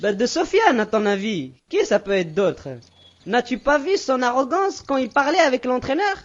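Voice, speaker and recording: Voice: high voice, sing-song, normal speed, dull audio. An actor voicing a schoolboy athlete in an educational children's cartoon. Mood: resentful, grumbling. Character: resentful, envious schoolboy athlete.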